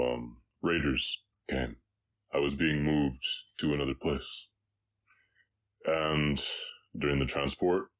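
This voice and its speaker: A man voicing a brooding, remorseful orc cowboy outlaw with a slight Southern US accent, speaking haltingly and somberly in an intimate confessional roleplay. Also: extremely low pitch, heavily acted. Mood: grave recollection. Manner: halting, somber, long pauses. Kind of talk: intimate scripted roleplay audio, confessional storytelling.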